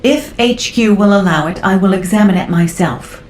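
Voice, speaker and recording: Woman playing a brainy game scientist, thoughtful, curious, medium pitch, roomy echo. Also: normal speed, darkish tone, lightly acted. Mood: wary interest. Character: curious, analytical, cautious female scientist. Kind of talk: video game dialogue acting. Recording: roomy game audio, faint noise.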